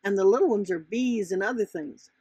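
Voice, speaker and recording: A woman's voice: mid-range voice, a little fast, a little mellow, breathy edge, dry recording. A woman voicing helpful, cautionary butterflies in a children's storybook, speaking in an emphatic, instructive way. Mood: warning. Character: helpful, cautionary older-hand butterflies at the flowers. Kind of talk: children's storybook character reading.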